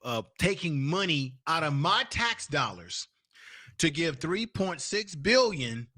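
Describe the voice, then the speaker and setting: A man's voice: on the high side, sing-song, takes it slowly, sharp tone, no room sound, studio quality. A man hosting a live stream, giving a heated, profane political rant. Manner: profane, angry, repetitive ranting.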